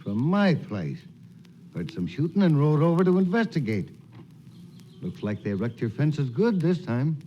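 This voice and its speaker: A muffled, faintly hissy old radio recording. a menacing western outlaw boss, smooth-talking and insulting, with a swinging, expressive delivery at medium pitch. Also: male voice, unhurried. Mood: mock-polite. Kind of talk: 1940s radio western acting.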